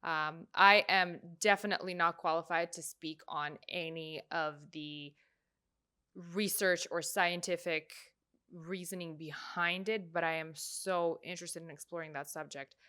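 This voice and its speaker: Bright, slightly low woman host, Russian first language, loose musing reaction chat. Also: steady tone, unhurried, clean tone. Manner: chatty, musing, trailing off with fillers. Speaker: podcast host. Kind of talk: casual reaction commentary.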